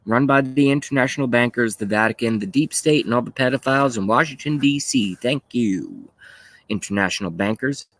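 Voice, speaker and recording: A man of thirty-five hosting a livestream, talking casually and haltingly as he rants and reads out chat messages, with some swearing. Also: medium pitch, fairly bright tone, no room sound. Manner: rambling, halting, often swearing. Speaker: livestream host. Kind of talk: casual political rant while reading live chat.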